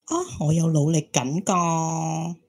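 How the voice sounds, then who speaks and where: Low-voiced, gossipy romantic woman played by an actress. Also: slow, breathy edge, exaggerated voice. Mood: hopeful, defensive. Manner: animated teasing, exclaiming. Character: hopeful romantic single woman gossiping with friends. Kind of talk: Cantonese audio drama acting.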